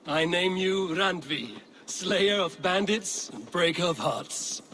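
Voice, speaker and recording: Casting: seasoned Viking fighter, male, slightly high voice, wry and measured, dramatic game delivery. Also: expressive, sharp tone, a little hiss or hum, full character voice. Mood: amused. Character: Eivor, seasoned Viking warrior, wry and steady. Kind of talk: dramatic video game acting.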